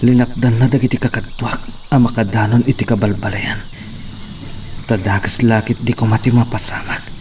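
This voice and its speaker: A man's voice: medium pitch, flat delivery, moderate pace, a little mellow, far from the mic, a little hiss or hum, natural voice. A man playing an anguished, brooding wounded man in an Ilocano drama, with a Filipino Ilocano accent. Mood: troubled, brooding. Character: anguished wounded man, dreading what may come. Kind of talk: Ilocano radio-style drama acting.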